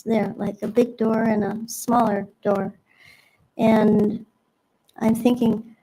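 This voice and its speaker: A woman's voice: fairly high pitch, mellow, low-quality recording. A woman making a public comment at a city meeting, polite and hesitant, rambling with fillers. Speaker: resident speaking at a public hearing.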